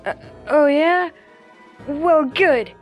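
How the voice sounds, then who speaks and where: A woman's voice: high-pitched, extremely slow, soft background music. A woman voicing a timid but brave young monster child in a comic dub, stammering and earnest. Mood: scared but brave. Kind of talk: comic dub cartoon acting.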